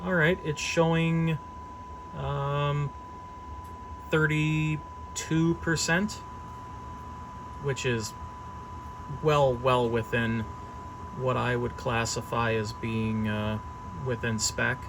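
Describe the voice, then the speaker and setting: A man's voice: mid-range voice, fairly even intonation, very slow, pauses often, noisy background. A man giving a casual, unscripted equipment demonstration as a hobbyist technician, thinking aloud hesitantly as he goes. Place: service workshop, indoors.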